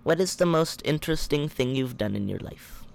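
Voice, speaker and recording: A man's voice: fairly high pitch, expressive, moderate pace, creaky, a little hiss or hum. A shy young man hosting his own podcast, reading his interview questions from a script. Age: young adult. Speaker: podcast host.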